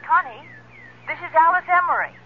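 A woman's voice: very high-pitched, lively intonation, brisk. An actress in a radio drama playing a genteel, well-off woman friend with an assured, coaxing manner. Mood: cordial. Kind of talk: 1970s radio drama acting. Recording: tinny telephone-like old radio, faint hiss.

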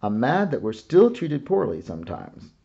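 A man's voice: medium pitch, wildly swooping pitch, normal speed, creaky, dark tone, husky, muffled recording, heavily acted. A man voicing an earnest, frustrated father in a read-aloud picture book, speaking firmly.

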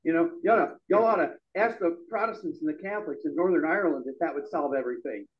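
A middle-aged man giving a loud, emphatic speech at an open-mic forum in a bar room. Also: fairly high pitch, fast, highs cut off. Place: bar room with a small audience. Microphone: stand mic.

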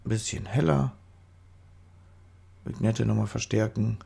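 A man's voice: fairly low pitch, steady tone, slow pace, vocal fry, dark tone, husky. A man with a stuffy nose from a cold, chatting casually through a photo-editing tutorial voice-over, rambling and correcting himself as he goes. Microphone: studio microphone.

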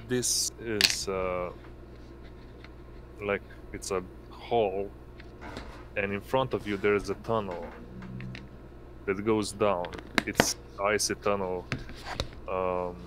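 A man running a tabletop game as game master, narrating in a halting way. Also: fairly low pitch, fairly even intonation. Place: indoor online voice session. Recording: noisy home recording, constant background hum.

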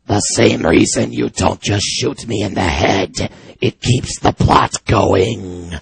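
A man voicing a menacing, smug giant villain who makes blunt deadpan threats in a video game parody. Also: on the low side, slow, creaky, background noise, heavily acted. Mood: smug menace.

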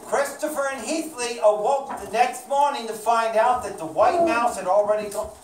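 A woman's voice: a little high, moderate pace. A woman leading a children's puppet show, reading and quizzing aloud, recorded by a distant mic in a classroom. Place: small indoor classroom with children. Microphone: distant camera microphone. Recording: echoey room, far mic, clear noise.

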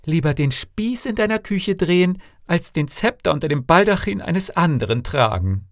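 Man voicing an earnest, high-pitched young princess. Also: sing-song, normal speed, no echo, studio quality. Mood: devoted, tender. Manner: earnest, imploring, emphatic. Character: devoted, pleading young princess daughter.

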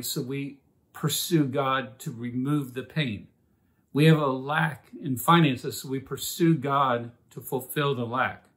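A man teaching a Bible study in an earnest, measured way, opening with a prayer and reading scripture passages aloud. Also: medium pitch.

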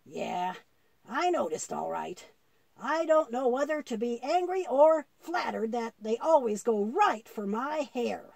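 Woman voicing a patient, slow-talking old grandfather puppet. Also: on the high side, very animated, gravelly, darkish tone, dry recording, exaggerated voice. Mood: wry. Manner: measured, explanatory, quotes scripture. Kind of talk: puppet show dialogue for children.

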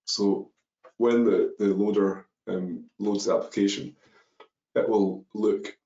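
A man's voice: on the low side, fairly even intonation, slow, warm tone. A man presenting a coding webinar, walking through code step by step with many ums and uhs. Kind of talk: live coding walkthrough. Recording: dull echoey webinar audio.

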